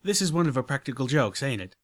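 A man's voice: medium pitch, big pitch swings, quick pace, voice pushed up, lightly acted. A man voicing a blunt, flustered country farm mare with a put-on Southern drawl. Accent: Southern US rural (put on). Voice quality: drawling, exasperated.

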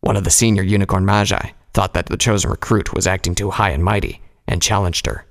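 A man voicing a stern, measured military pony stallion in a fantasy audio story, with a commanding manner. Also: deep voice, fairly even intonation, big-room sound. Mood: matter-of-fact.

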